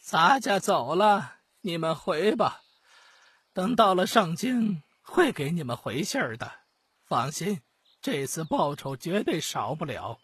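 A man's voice: fairly high pitch, big pitch swings, slow pace, fairly bright tone, husky, no echo, pitched above the performer's own voice, exaggerated voice. A man voicing a wily, chummy court eunuch with a grand, folksy manner in an audiobook. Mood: breezy, boastful.

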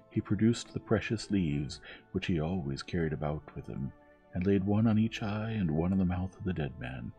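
A man reading a storybook tale as gentle, measured bedtime narration, soft and soothing. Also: low voice. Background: music.

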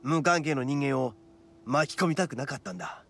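A man playing a brash, big-hearted young oni gang boss in a Japanese anime game, with rough-edged, blunt street-tough slang. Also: mid-range voice, brisk, fairly bright tone, soft background music, close to an everyday voice. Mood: reluctant, earnest.

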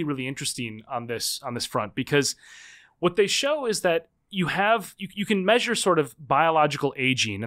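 A man in his thirties, a guest author explaining ideas in a podcast interview, animated and full of quick restarts. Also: on the high side.

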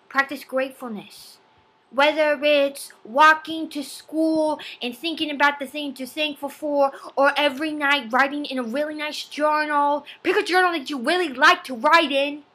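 A woman voicing an earnest fifteen-year-old nerdy boy giving a preachy, deliberate self-help video blog. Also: sits high, a bit bright. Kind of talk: amateur self-help vlog monologue. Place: small home room. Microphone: laptop webcam at arm's length.

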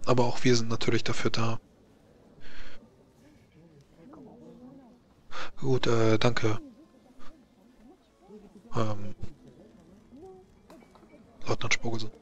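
A man playing a grave, worried court mage in a tabletop game, explaining things in a formal, halting, deliberate way. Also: medium pitch, flat delivery, lightly acted. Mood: formal, resolved. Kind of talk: tabletop roleplay in character.

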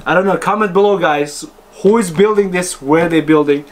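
A man's voice: high-pitched, normal speed. A man giving a casual, unscripted product review, talking to viewers in choppy, informal phrases. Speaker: host reviewing car audio speakers.

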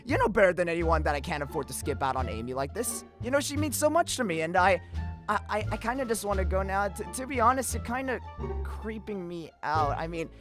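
A woman's voice: medium pitch, lively intonation, a little fast, over music, lightly acted. A woman voicing a nervous young male cartoon hedgehog who stammers and rambles in a comic dub.

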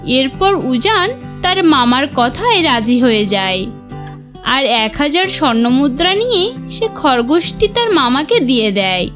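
A woman narrating a scripted cartoon fairy tale as an expressive storyteller. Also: sits high. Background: music.

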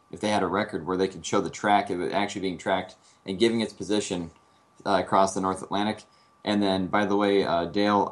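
A man with a very low voice speaks as a guest on a casual talk show. He explains calmly and steadily, filling his pauses with "uh" and "um". His tone is plain and conversational. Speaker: guest, air traffic controller. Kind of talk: casual call-in chat.

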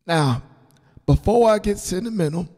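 A man voicing an elderly grandfather in a storybook, sentimental and grateful, speaking tenderly and haltingly with a creaky voice. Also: high-pitched, lively intonation, voice pushed up. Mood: sentimental, gentle. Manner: halting, tender, soft-spoken. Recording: long hall reverb on the voice.

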